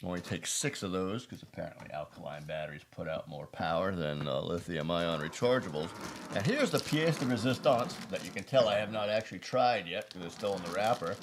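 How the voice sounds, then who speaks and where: A man's voice: lowish voice, slight background noise. An American man hosting a prepper video, talking casually through a product review in a rambling, folksy, self-deprecating way.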